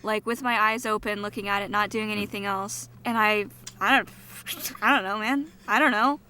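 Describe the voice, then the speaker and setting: A woman's voice: medium pitch, steady tone, moderate pace, pushed and bright. A woman co-hosting a podcast in casual chat, with a blunt, grumbling manner. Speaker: podcast co-host. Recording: faint background noise.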